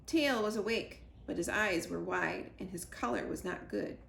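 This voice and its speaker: A woman librarian reading a children's chapter book aloud, lively, jumping up in pitch for the characters. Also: moderate pace, gravelly, reverberant, slight background noise. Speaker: school librarian. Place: school library.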